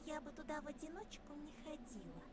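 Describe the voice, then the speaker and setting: A woman's voice: high voice, sing-song, fast. An actress playing a mysterious, playful young girl in a fox mask in a video game, with a taunting sing-song manner. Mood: teasing.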